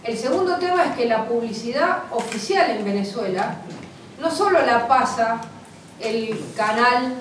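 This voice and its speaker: A woman explaining herself at a press conference, in a measured way with many hesitations. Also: a little high, lively intonation. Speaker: opposition deputy. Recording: echoey hall, far from the mic, noisy.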